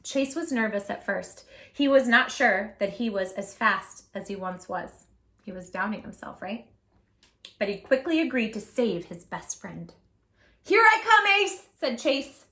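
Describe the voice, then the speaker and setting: A woman hosting storytime, reading a children's picture book aloud in a gentle, expressive, affectionate way. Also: on the high side, roomy sound.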